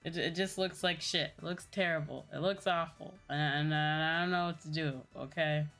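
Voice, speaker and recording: A woman's voice: deep voice, fairly even intonation, normal speed, a bit bright, faint music behind. A woman livestreamer chatting casually to her viewers in a playful, self-deprecating manner. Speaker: livestreamer, VTuber.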